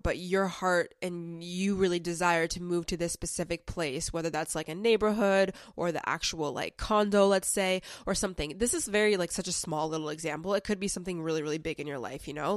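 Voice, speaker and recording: A young woman with a lowish, smooth voice hosts a self-help podcast. She's a bit under the weather, so her voice sounds slightly off, but she keeps talking in a loose, chatty way full of filler words. She's close on the mic in a dry room. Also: no room sound. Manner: chatty and conversational, with frequent "like" fillers. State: slightly sick, voice a little off. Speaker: podcast host.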